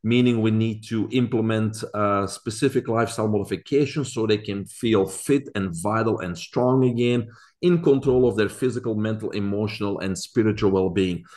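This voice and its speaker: Belgian-accented man, lowish voice. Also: moderate pace, darkish tone. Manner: earnest, explanatory, frequent fillers. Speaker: podcast guest. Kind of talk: casual interview talk.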